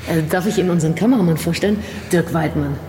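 An actress playing a polite, puzzled TV interviewer in a radio drama, hesitant and questioning, heard in a large reverberant room. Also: female voice, on the low side, quick pace, dark tone, natural voice. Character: polite, puzzled female TV interviewer managing a shoot. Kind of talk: naturalistic German radio drama acting. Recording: echoey hall with clear background noise.

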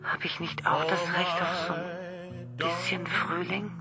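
An actress playing the seductive, intimate voice of a mobile phone in a radio crime drama, speaking softly and coaxingly over music. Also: female voice, on the low side, little pitch movement, takes it slowly. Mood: melancholy.

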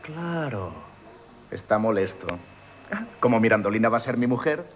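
An actor playing a cheeky, mock-deferential inn waiter in a radio play. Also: male voice, medium pitch, animated, moderate pace, slight background noise, close to an everyday voice. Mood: needling. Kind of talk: Spanish radio theatre acting.

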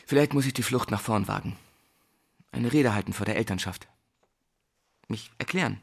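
A man's voice: on the low side, moderate pace, vocal fry, slightly rough. An actor playing a gifted young male teacher in a drama, defensive and brittle, clipped and proudly self-assured. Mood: subdued. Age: young adult. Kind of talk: German stage-style radio play dialogue.

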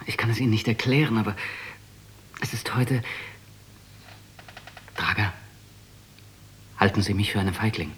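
An actor playing a troubled, earnest young bookworm turned would-be assassin in a German radio drama. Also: male voice, fairly low pitch, moderate pace, long pauses, a bit bright, a little hiss or hum, close to an everyday voice. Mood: intense, pleading. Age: young adult.

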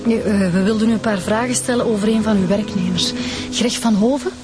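A woman's voice: mid-range voice, fairly quick, music in the background, close to an everyday voice. A woman playing an eager, inquisitive police detective with a Flemish accent, informal and polite, in a radio drama. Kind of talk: naturalistic radio drama acting.

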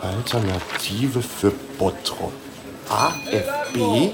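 A man's voice: fairly low pitch, takes it slowly, noisy background. An actor playing a meek, hesitant young father and marketing employee in a radio play, polite and questioning. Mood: puzzled, hesitant.

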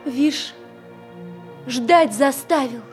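A coy young princess in love in a radio drama. Her girlish, teasing voice is extremely high and slow, with soft music behind it. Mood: pouting. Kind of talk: theatrical radio play acting.

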